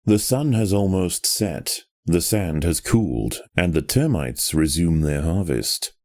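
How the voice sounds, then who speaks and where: A man narrates in the manner of a nature documentary, with a very deep, mellow voice. His delivery is measured and solemn, with a sense of drama. The sound is dry and close, as scripted game narration. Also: animated, no room sound.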